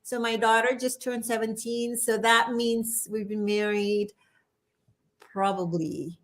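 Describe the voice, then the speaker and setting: Woman in her forties, from Indonesia, lively guest, quick asides, fairly high voice. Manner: animated, laughs often, quick asides. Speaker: podcast guest. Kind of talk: casual interview chat.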